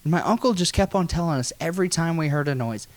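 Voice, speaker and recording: An American man telling a funny personal story in an animated, folksy way with some swearing. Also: on the high side, quick pace, no room sound. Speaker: guest storyteller.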